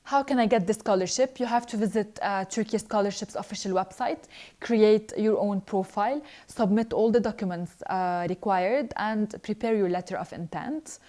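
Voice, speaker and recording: Young woman, alumna hosting a live Q&A. Mellow, relaxed voice, earnest, halting with fillers. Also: medium pitch. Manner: earnest, hesitant, frequent filler pauses. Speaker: scholarship alumna answering viewer questions. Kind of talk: live online Q&A, reading questions then answering.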